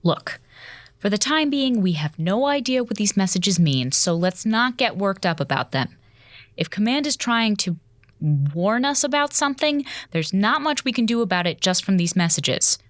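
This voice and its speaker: Woman acting as a no-nonsense space commander, mid-pitched, brisk and in charge, audio drama. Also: animated, no echo, natural voice. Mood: steadying. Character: level-headed, authoritative female space station commander.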